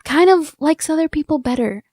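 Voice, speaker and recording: A woman's voice: sits high, animated, a little fast, a little mellow, no echo, studio quality. A woman interviewing on a podcast, thinking aloud earnestly in casual, reflective discussion.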